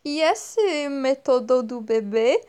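A woman in her twenties from France with a French accent, speaking slowly and hesitantly as an interview guest, often pausing to search for words. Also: sits high, lively intonation, darkish tone, smooth. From northern France. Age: 20s. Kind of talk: slow, careful speech with many pauses and fillers.

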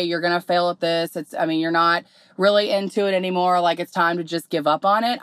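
A young woman, slightly hoarse, hosting her podcast in candid, rambling casual talk full of fillers. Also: on the low side, fairly bright tone, no room sound, clean studio sound. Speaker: podcast host.

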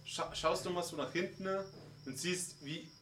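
A man's voice: on the high side, normal speed, a bit bright. A man narrating a tabletop role-playing game to friends as game master. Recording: echoey room with background noise.

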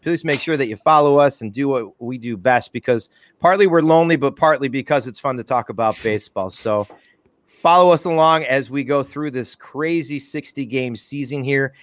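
A man's voice: medium pitch, normal speed, no echo. Male podcast host, playful and self-deprecating announcer patter, close and dry. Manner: jokey, self-mocking, chatty announcer patter. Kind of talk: casual podcast chat.

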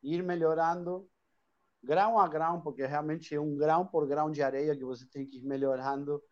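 A man's voice: a little high, fairly even intonation, brisk, dull audio. Argentine man talking at length. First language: Spanish. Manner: talkative, with frequent restarts and tag questions. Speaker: guest, hostel chain owner. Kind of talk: live online interview.

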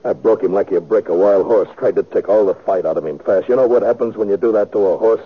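A man playing an anguished middle-aged father with a rural American accent in a 1950s radio western, halting and folksy. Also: lowish voice, husky. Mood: anguished, pleading. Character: anguished, protective old-West father, plain country man. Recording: dull, noisy old radio recording.

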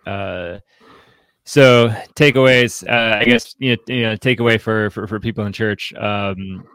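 A man hosting a podcast, discussing in a casual way, haltingly, often repeating words and saying "like". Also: lowish voice, fairly even intonation, fairly bright tone, clear, resonant tone, no echo. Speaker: podcast host.

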